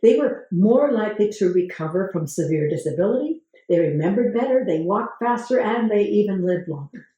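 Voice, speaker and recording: A woman hosting a channel, talking to camera about research, with a gravelly voice today and a measured way of asking viewers questions. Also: mid-range voice, warm tone. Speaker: host. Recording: very echoey room.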